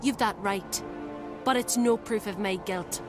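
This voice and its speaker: A woman's voice: mid-range voice, measured pace, music in the background, natural voice. An actress playing a haughty, scheming middle-aged noblewoman in a fantasy game, speaking with imperious scorn. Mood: contemptuous. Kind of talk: dramatic fantasy game acting. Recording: dramatic score behind dialogue.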